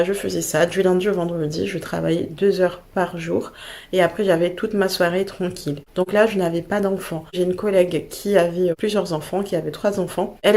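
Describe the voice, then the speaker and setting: A young woman speaking French in a low-pitched voice, a bit stuffy from a cold. She talks in a relaxed, chatty way and keeps circling back to the same points, with plenty of little fillers. It sounds like a casual advice vlog. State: has a cold. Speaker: vlogger sharing study tips.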